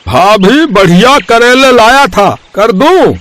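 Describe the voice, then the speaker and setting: High-pitched coaxing vegetable seller, acted by a man. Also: lively intonation, slow, a bit bright, full character voice. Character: eager male street vegetable seller.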